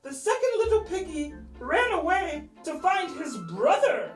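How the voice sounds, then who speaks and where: A woman's voice: extremely high pitch, very animated, unhurried, reverberant, recorded from a distance, soft background music. A woman telling a children's story in a dramatic, playful way, drawing out key words.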